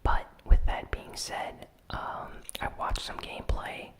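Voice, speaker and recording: A host whispering a weekly gaming news recap in ASMR style, soft and unhurried with frequent "um" fillers. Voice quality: fully whispered.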